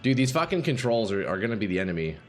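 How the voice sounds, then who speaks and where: A man streaming a game live, chatting casually with viewers, swearing and reacting loudly, his voice going a little hoarse. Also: on the low side, very animated, racing. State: slightly hoarse, losing voice. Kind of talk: casual live-stream chat while playing. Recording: faint game music behind.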